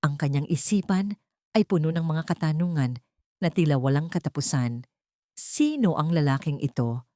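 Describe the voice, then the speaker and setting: Slightly low-voiced woman narrating romantic drama. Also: animated, warm tone, no echo, studio quality. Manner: solemn, measured storytelling.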